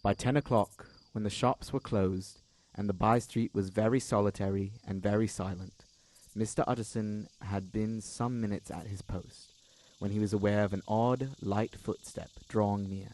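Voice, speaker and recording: A man's voice: lowish voice, fairly even intonation, moderate pace, darkish tone, rough recording. A young man reading scripted literary narration for an audio drama in a formal, dramatic storytelling manner.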